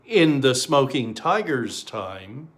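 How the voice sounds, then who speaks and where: Man doing a thoughtful, unhurried village storyteller. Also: mid-range voice, very animated, a bit bright. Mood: welcoming.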